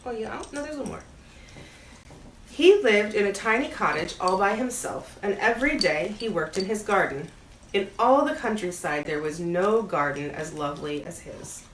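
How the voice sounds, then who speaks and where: A woman's voice: mid-range voice, animated, moderate pace, a bit bright. A woman reading a storybook aloud as a bedtime story, expressively and with playful asides. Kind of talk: storybook read-aloud to a pet dog. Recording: roomy echo, audible background noise.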